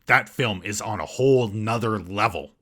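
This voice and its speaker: Forceful, husky male reviewer. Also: lowish voice, moderate pace. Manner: sarcastic, mocking. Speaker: host, movie reviewer. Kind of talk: scripted comedic review narration.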